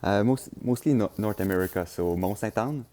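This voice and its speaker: A man's voice: lowish voice, brisk, warm tone. A young man from Quebec with a Québécois French accent, giving easygoing, hesitant interview answers in English, his second language. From Quebec, Canada. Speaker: guest, gravel racer.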